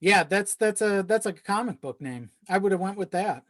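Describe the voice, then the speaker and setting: A man's voice: high-pitched, expressive, normal speed, fairly bright tone, no echo, clean studio sound. A man chatting casually as a podcast guest, joking in a wry, hesitant way. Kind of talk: casual comedic chat.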